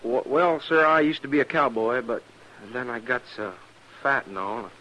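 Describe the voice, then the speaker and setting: Meek, stammering old-west cowboy. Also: male voice, mid-range voice, animated, normal speed, bright, forceful tone, highs cut off. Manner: hesitant, stammering, meek, folksy. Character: timid, apologetic, hard-up former cowboy turned constable. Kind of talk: old-time western radio drama acting. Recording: noisy old-time radio recording.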